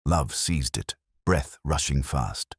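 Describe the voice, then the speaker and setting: A man narrating a mystery story in a dramatic, scripted style with a measured, literary delivery. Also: a bass voice, animated, breathy edge, no echo, studio quality.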